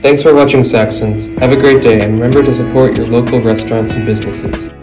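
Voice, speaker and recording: A teenage boy reads a restaurant review as a voice-over, plain and scripted, tripping on a word now and then. Strummed guitar music plays loudly under his voice. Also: mid-range voice, fairly even intonation, brisk, rough recording. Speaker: student reporter.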